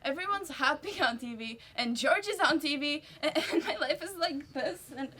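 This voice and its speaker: A young woman acting a depressed, lovesick and defensive sister in an amateur stage play, halting and emotional. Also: high voice, expressive. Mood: tearful, despairing. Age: teenager or young adult. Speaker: student actor in a stage play. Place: indoor stage performance. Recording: noisy live stage recording with clicks.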